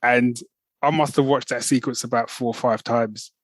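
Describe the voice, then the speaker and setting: A man's voice: medium pitch, normal speed, dry recording. A man co-hosting a podcast, chatting casually and acting out scenes with lots of laughter. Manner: animated, laughs often, acts out scenes in quoted voices. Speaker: podcast co-host. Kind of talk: casual chat.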